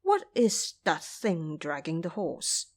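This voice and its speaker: A woman's voice: deep voice, wildly swooping pitch, takes it slowly, voice pushed down, exaggerated voice. A woman voicing a courteous but timid male temple monk with a polite, nervous manner. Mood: frightened.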